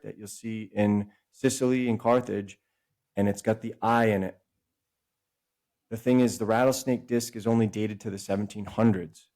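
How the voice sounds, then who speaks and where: A man with a fairly low, warm and mellow voice. He talks as a podcast guest over a remote call, working through ideas in long, wandering stretches and often catching and correcting himself mid-thought. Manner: discursive, self-correcting, speeds up and slows down. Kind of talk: casual explanatory conversation.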